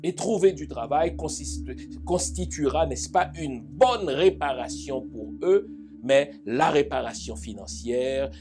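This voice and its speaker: A man's voice: on the high side, animated, measured pace, over music. A man hosting a talk show, delivering an emphatic monologue full of rhetorical questions and asides. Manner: emphatic, rhetorical questions, frequent "n'est-ce pas". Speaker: host.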